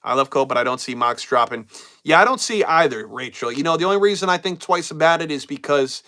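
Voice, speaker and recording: Chatty man with a cold, bright voice. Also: a little high, expressive, fast talker. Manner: casual, loose, repeats himself and trails off. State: getting over a cold, voice going. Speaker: wrestling show host. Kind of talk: live-stream chat, reading viewer comments.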